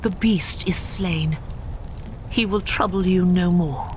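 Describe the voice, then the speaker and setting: An actress playing a proud elf warrior in a video game, speaking with measured, lofty earnestness. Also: female voice, on the low side, slight background noise, poor audio. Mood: solemn resolve. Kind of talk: video game cutscene acting. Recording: music behind.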